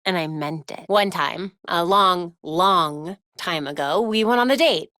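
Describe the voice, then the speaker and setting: An American woman playing a young, wry, determined vigilante hacker in a video game, with quippy and expressive delivery. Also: lowish voice, a little slow, dry recording, clean studio sound. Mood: hurt, intense.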